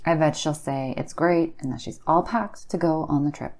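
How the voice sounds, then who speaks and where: A woman's voice: deep voice, fairly even intonation, moderate pace. A woman playing a bored, pushy, petulant friend in a comic phone-call sketch, insistent and sarcastic.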